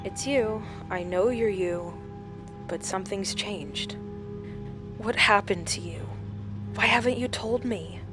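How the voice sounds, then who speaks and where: Female, haunted horror-drama survivor, played by an actress, slow halting delivery, mid pitch, music running underneath. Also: natural voice. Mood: uneasy pleading. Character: shaken woman recounting a supernatural encounter with a shapeshifter. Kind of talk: audio drama acting.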